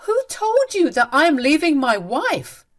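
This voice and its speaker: Woman doing a furious grown son, stern and loud. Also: sits high, sing-song, unhurried, voice pushed up. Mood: angry, stern. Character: angry grown son confronting his mother. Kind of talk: storybook narration.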